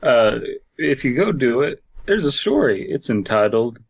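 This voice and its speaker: A man's voice: mid-range voice, sing-song, measured pace, creaky, husky. A man with a mid-range voice chats casually as a comedy podcast co-host. The recording is a little dull and lo-fi.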